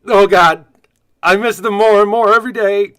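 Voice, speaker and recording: A man's voice: sits high, steady tone, normal speed, fairly bright tone, smooth, no echo. A man acting the part of a gregarious, wry, fatherly bartender to superheroes in a comic radio play. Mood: mock grief.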